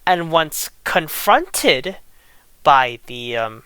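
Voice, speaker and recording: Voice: medium pitch, sing-song, extremely slow, a bit bright, clear, resonant tone, no echo, rough recording. An online creator of unclear sex delivering an unscripted, exasperated rant, often restarting and repeating phrases. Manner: indignant, exasperated. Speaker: online video creator venting grievances.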